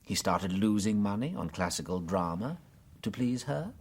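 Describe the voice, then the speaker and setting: An English actor playing a gentle, unworldly but shrewd priest detective in a radio drama, hesitant and politely musing. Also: male voice, on the low side, animated, normal speed, slightly rough. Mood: quietly insistent. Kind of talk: full-cast radio drama acting.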